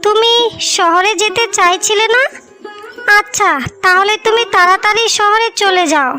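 A devoted, easily wounded young bride from a cartoon melodrama, played by a woman. Her voice is extremely high-pitched and sharp, plaintive and pleading. Faint music sits behind it. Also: normal speed. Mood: hurt, pleading. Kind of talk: melodramatic cartoon story dialogue. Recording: music bed under the voice.

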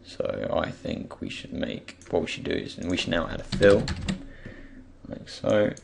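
A young man in his tutorial voice, croaky and rough because he has just got up. He sits very low and rasps, pausing often to think aloud. Mouse clicks and faint hiss sit under his voice. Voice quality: croaky, gravelly. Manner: relaxed, thinking aloud with many pauses. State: just woken up. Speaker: tutorial creator. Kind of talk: screen-recorded software tutorial.

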